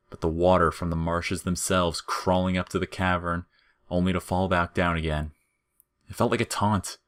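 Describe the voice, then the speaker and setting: A man's voice: low-pitched, a bit bright. A man narrating scripted audio fiction as the storyteller.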